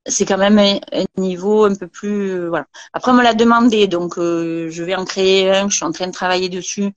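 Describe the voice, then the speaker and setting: A woman with a southern French accent chats about her work in a dry, close-sounding room. She talks in a halting way, full of fillers and restarts. Her pitch sits in a middle range. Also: fairly even intonation, no echo, muffled recording. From southern France. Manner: hesitant, many fillers and restarts. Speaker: massage trainer and beautician. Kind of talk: casual interview chat.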